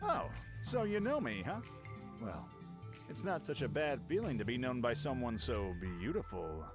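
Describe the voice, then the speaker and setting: A brash young pirate prince talks with cocky swagger and big swings in pitch, as a man acts him out. Clear music plays behind him in this fan radio drama. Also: very animated, normal speed, close to an everyday voice.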